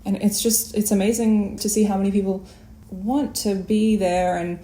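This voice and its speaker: A woman's voice: medium pitch, steady tone, moderate pace, dark tone. A woman hosting a podcast interview in a casual, conversational style, recorded in an echoey room. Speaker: podcast host. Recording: echoey room with faint background noise.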